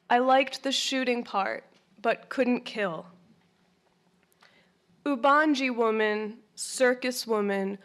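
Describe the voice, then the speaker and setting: A woman reading a poem aloud in a measured, deliberate way. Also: on the high side, a bit bright. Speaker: poet. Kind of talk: poetry reading.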